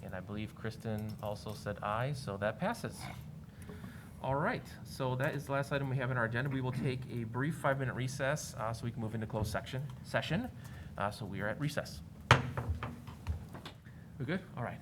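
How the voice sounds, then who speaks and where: A man at mid pitch chairs a board meeting, running motions and votes briskly and adding a dry aside now and then. Steady room noise sits under his voice. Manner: brisk procedural, with light asides. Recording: noisy room audio.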